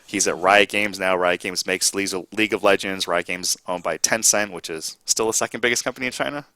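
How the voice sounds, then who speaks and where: A man's voice: low voice, a little fast, sharp tone, no room sound. A man hosting a casual live interview, joking and teasing his guest and laughing often. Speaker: podcast host. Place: studio at a casino.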